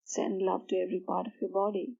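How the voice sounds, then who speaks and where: A woman guiding a sleep meditation in a soothing, measured manner, pausing between short phrases. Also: mid-range voice, level tone, vocal fry, mellow, slightly rough, muffled recording. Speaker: meditation guide. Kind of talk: guided meditation for sleep, slow instruction.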